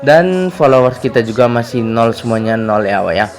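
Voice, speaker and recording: Casting: male tutorial host, mid-pitched slightly hoarse voice, chatty casual delivery, music underneath. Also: expressive, moderate pace. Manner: chatty, repetitive verbal tags. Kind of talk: casual screen tutorial narration.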